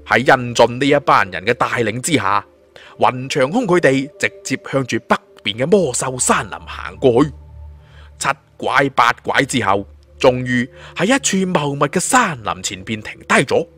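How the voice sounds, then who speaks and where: A man's voice: mid-range voice, lively intonation, moderate pace, a bit bright, soft background music. A man with a Hong Kong Cantonese accent narrating a fantasy story in a dramatic storytelling style. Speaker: audiobook narrator. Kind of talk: dramatic scripted storytelling of a suspense fantasy novel.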